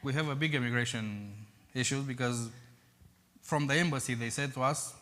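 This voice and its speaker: A Romanian man speaking halting non-native English with pauses as a missionary, addressing a church. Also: mid-range voice, fairly bright tone, big-room sound. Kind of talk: church presentation. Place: church sanctuary.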